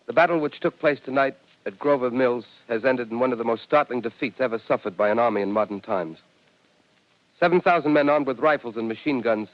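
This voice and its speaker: An actor playing an official making a grave announcement in a 1930s radio drama, solemn and formal. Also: male voice, mid-range voice, lightly acted. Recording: dull lo-fi old radio recording.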